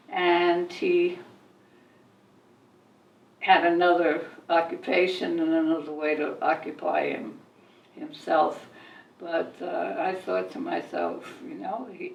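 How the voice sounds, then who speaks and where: An older woman reminisces about her own life as an interviewee, reflective and often halting. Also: fairly low pitch, vocal fry, darkish tone, lo-fi sound. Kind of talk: reminiscing, personal storytelling. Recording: echoey room, distant mic.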